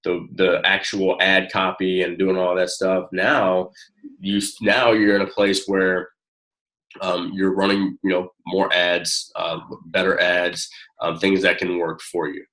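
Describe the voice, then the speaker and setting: A man with a low-pitched voice gives one-on-one coaching in a casual, encouraging way. He talks in halting, informal phrases, circling back as he explains. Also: little pitch movement, fairly bright tone, poor audio. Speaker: network marketing coach.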